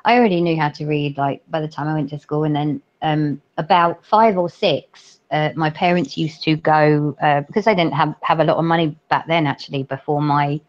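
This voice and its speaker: Chatty woman with a low voice, rambling and casual, on a dull recording. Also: steady tone, normal speed, hardly any pauses. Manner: chatty, rambling with many fillers. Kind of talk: casual chat. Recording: dull lo-fi audio.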